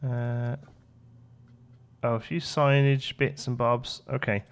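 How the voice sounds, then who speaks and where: A man's voice: mid-range voice, flat delivery, takes it slowly, clean tone. A man hosting a let's play, chatting casually and thinking aloud as the game goes along. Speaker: gaming video host.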